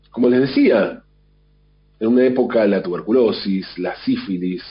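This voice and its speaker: A radio host, sick at home with a mild flu and cough, musing in a thoughtful, rambling way with many restarts. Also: male voice, deep voice. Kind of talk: reflective monologue. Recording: dull lo-fi sound.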